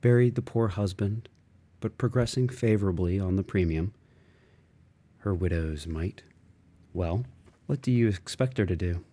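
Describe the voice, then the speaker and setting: A man reading a literary audiobook, voicing an interior monologue in musing, fragmented phrases with expressive shifts. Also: deep voice, unhurried, pauses often. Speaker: audiobook reader.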